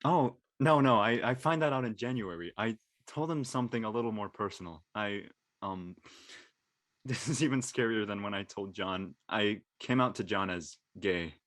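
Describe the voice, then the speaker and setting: A man playing an earnest, nervous high school senior with a casual, slangy, hesitant delivery in an audio play. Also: normal speed, a bit bright, no room sound, studio quality, natural voice. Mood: shy, polite. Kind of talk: naturalistic dialogue in a live-streamed audio play.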